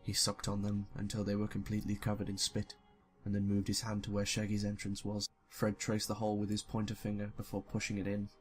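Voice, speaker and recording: Low-pitched young man over music. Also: completely flat delivery, a little fast, few pauses. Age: young adult. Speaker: YouTuber, story reader. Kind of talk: scripted story narration and casual comic commentary.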